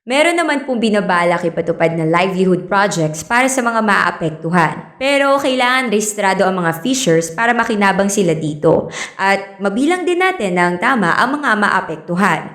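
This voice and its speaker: A woman playing a polite, patient fisheries speaker in a radio drama, explaining things in a measured, courteous way. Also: medium pitch, lively intonation, fairly bright tone, roomy sound, lightly acted.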